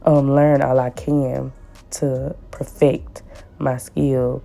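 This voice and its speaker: A woman with a hoarse, raspy voice from the flu, chatting casually as she narrates a nail tutorial and repeats words for emphasis. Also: little pitch movement, slow pace. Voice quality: raspy and deep. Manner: repeats words for emphasis, warm pleading asides. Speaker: nail tech vlogger.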